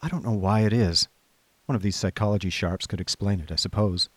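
An actor playing an idle, chummy young upper-class gentleman, narrating a radio drama in breezy, wordy old-fashioned slang. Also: on the low side, brisk, no echo. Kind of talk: scripted first-person radio drama narration. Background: music.

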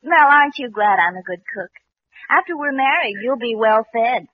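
Lady playing an eager sweet young bride-to-be, high voice, tinny old radio comedy with some hiss. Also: expressive, normal speed, close to an everyday voice. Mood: hopeful, doting. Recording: thin, band-limited noisy old radio recording.

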